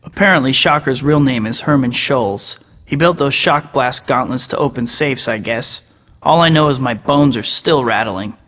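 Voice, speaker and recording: A man's voice: mid-range voice, moderate pace, long reverb tail, lightly acted. An actor playing a sarcastic young male superhero in a video game, full of teasing quips. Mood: wry narration. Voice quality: bright. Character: wisecracking young male superhero, cocky and joking. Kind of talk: quippy game voice clips.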